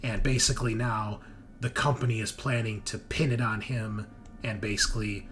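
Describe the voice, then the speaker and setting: A man acting as game master, explaining things in a casual recap style, with emphatic starts that trail off quieter. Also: on the low side, steady tone, normal speed, soft background music. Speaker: game master of a tabletop roleplaying show.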